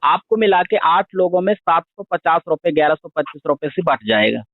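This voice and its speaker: A man's voice: a little high, animated, a little fast, dry recording. A man giving an insistent, step-by-step sales explanation over a phone call, repeating points and addressing the listener as sir. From India. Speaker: digital wallet scheme promoter making a sales call. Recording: tinny narrow-band phone line.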